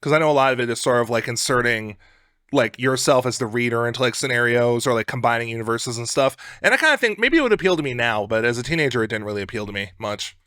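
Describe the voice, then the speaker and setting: A man's voice: medium pitch, lively intonation, fast talker, a bit bright, no echo, clean studio sound. A man co-hosting a casual podcast chat in an informal, joking way. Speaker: podcast co-host.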